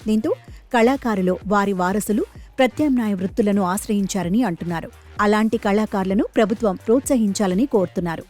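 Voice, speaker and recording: A woman's voice: medium pitch, a little fast, darkish tone, faint music behind. A woman reading a scripted news feature narration as a voice-over.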